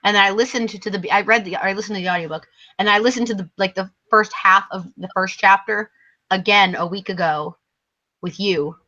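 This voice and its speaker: Dull lo-fi stream, woman, bright tense tone, tired fading voice, casual book chat, hesitant, self-correcting. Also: mid-range voice, a little fast. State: losing her voice, tired. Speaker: book vlogger co-hosting a live show.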